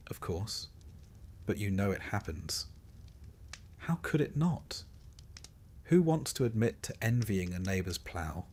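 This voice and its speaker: A man reading a scripted history sleep story as narrator, in a measured way with dry, wry understatement. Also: lowish voice, darkish tone.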